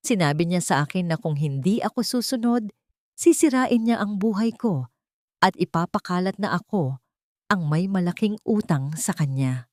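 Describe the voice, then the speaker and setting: A frightened socialite weighed down by guilt and debt, as a woman narrator puts her on, her words trembling and pleading. The voice is lowish and recorded close and dry. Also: expressive, normal speed, a little mellow, dry recording, natural voice. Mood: tearful.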